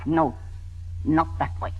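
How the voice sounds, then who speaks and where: An actress playing a domineering older mother in a 1940s radio drama, measured, imperious and calculating. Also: lightly acted. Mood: cold scheming. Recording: dull vintage radio recording with music.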